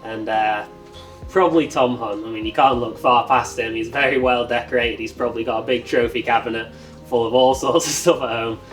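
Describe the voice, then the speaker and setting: Man, from northwest England, relaxed, candid, distant mic, faint music. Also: mid-range voice, brisk. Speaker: angler competitor. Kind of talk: interview answers.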